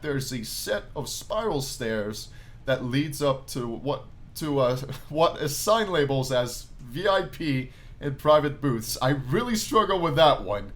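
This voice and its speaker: A man playing a game on his channel, reading the story aloud as animated narration and dropping in casual, jokey asides. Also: on the high side. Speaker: gaming YouTuber reading a visual novel aloud. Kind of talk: let's play commentary mixed with dramatic read-aloud narration.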